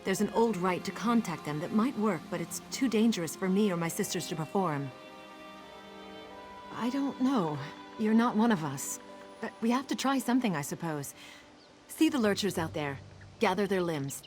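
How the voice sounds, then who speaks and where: A woman's voice: medium pitch, expressive, moderate pace, soft background music, lightly acted. A woman playing an earnest, solemn and worried nature priestess in a fantasy video game. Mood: worried, urgent.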